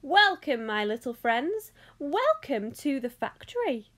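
A woman voicing an effusive, excitable old male factory owner in a high, fluty voice for a storybook. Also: slow pace, heavily acted. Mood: welcoming, excited. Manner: gushing, exclamatory, polite.

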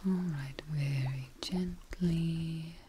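Young female ASMR performer: breathy, soothing whisper, extremely slow delivery, gentle scientist character, close-miked with some hiss. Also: deep voice, fairly even intonation. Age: young adult. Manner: gentle, soothing, reassuring, slowly repeating phrases. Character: gentle scientist tending their frightened creation. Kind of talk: soft-spoken whispered ASMR roleplay. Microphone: close-up microphone.

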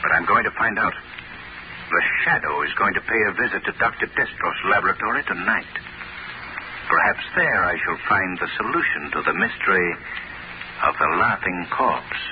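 An actor playing a cool, commanding mystery crime-fighter in an old-time radio drama, measured, authoritative and ominous. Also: male voice, low voice, animated. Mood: pensive, resolved. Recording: muffled, noisy old-time radio recording.

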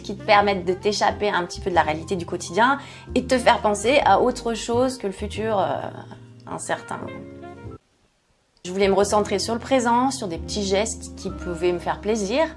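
Woman in her 40s vlogging from her kitchen, chatty, laughs at herself, lots of euh, soft music underneath. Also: mid-range voice, normal speed. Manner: chatty, self-mocking, many fillers. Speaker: skincare YouTuber. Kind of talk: candid personal vlog. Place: home kitchen set, indoors. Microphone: fixed camera, seated at medium distance.

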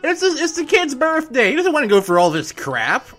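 Casual let's play host, chatty and lively in pitch, medium register, music behind. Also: moderate pace. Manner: chatty, thinking aloud. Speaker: gaming video host.

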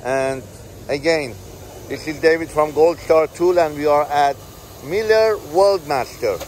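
A man who owns a tool company, chatting casually with an exhibitor and often pausing to search for words. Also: fairly high pitch, a little mellow, slight background noise. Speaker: tool company owner and host. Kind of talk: casual trade show interview. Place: busy trade show hall.

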